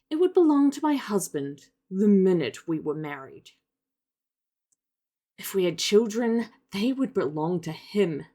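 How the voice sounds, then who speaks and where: A woman's voice: medium pitch, darkish tone. A woman playing a pragmatic, proud young woman in a period drama, arguing earnestly and firmly. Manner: earnest, firm, measured argument.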